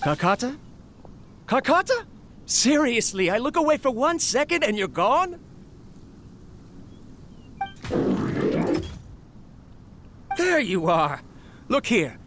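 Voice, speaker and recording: A man's voice: very high-pitched, very animated, a little slow, heavily acted. A theatrical male inventor from a video game, ambitious and obsessive. He speaks grandly, like a lonely eccentric absorbed in his work. A faint layer of ambient noise sits behind the voice. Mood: eager, boastful. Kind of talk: video game character monologue.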